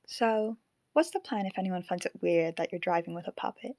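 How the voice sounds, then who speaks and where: A woman voicing a curious, dryly teasing haunted female marionette in an audio drama, with measured delivery. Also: fairly low pitch, dark tone, no echo, clean studio sound, lightly acted.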